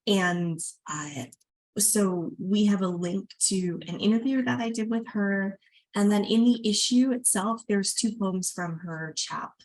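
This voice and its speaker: A woman with a husky voice hosting a literary reading in a casual, appreciative way. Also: medium pitch, a little slow, a little mellow, poor audio. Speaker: host of an online literary reading.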